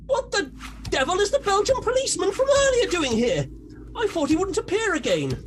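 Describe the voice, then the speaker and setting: A performer playing a vain gentleman detective in a comedy radio play, pompous, dry and self-important. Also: very high-pitched, big pitch swings, moderate pace, slight background noise. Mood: indignant.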